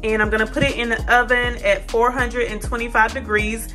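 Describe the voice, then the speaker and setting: A woman's voice: fairly high pitch, level tone, brisk, bright, forceful tone, faint music behind. A woman in her thirties with an American accent, chatting casually and informally to her viewers as a vlogger. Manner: informal, addresses viewers as y'all. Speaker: lifestyle vlogger, host. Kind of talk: casual vlog chat to viewers. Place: parked car and home kitchen.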